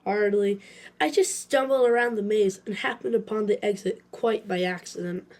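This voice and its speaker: A woman's voice: mid-range voice, moderate pace, darkish tone, close to an everyday voice. A woman playing a modest, brainy child in an audio drama, with precise, bookish phrasing. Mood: self-deprecating.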